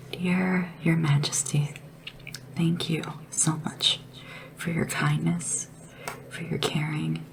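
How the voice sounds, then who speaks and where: A woman playing a small, devoted, gentle assistant to a dragon monarch in a soft-spoken, often whispered ASMR roleplay, unhurried and deferential. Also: low-pitched. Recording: clearly noisy lo-fi ASMR recording. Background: tapping and background hiss.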